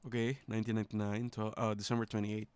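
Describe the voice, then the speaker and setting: A man with a fairly low voice doing casual stream commentary. He reads on-screen text aloud and drops laid-back, muttered asides as he goes. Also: little pitch movement, fast talker, darkish tone, clear, resonant tone, no echo.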